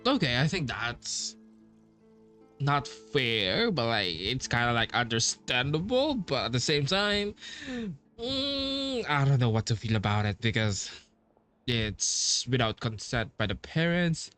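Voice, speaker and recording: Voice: mid-range voice, big pitch swings, slow pace, a bit bright, faint music behind. A game streamer giving casual playthrough commentary, hesitant and musing aloud.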